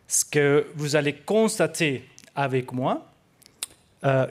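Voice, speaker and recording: Researcher with a fairly high male voice. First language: not French. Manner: hesitant with many fillers, careful phrasing. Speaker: researcher presenting at a study day. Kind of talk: conference presentation. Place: conference room.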